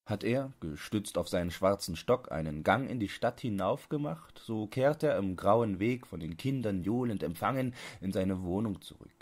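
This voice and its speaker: A man reading literary fiction as an audiobook narrator, in a measured, deliberate bedtime-story manner. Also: fairly low pitch, animated, darkish tone.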